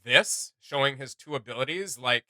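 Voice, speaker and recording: A man's voice: medium pitch, moderate pace, sharp tone, dry recording. A man hosting a fan reaction show, giving casual, slangy and enthusiastic commentary.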